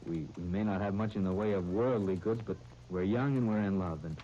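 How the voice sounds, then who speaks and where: A man's voice: low voice, dark tone, natural voice. An actor playing an eager, earnest young American man who trips over his words, in a 1930s radio drama. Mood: elated, confiding. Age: young adult. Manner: halting, stammering over words. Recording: muffled, noisy 1939 radio broadcast recording.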